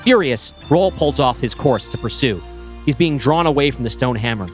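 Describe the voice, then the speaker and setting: A man reading his screenplay aloud, with brisk scene-setting narration. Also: mid-range voice, lively intonation, soft background music. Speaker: writer pitching a screenplay.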